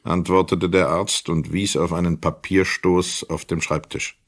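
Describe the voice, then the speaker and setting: A man's voice: deep voice, flat delivery, a little slow. A man reading scripted literary narration as the narrator of a crime radio play.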